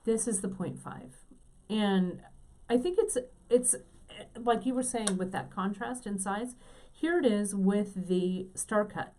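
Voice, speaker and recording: Mellow-voiced woman showing beads. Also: medium pitch, takes it slowly. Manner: enthusiastic, filler-heavy. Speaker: co-host. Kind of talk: casual chat, craft demonstration.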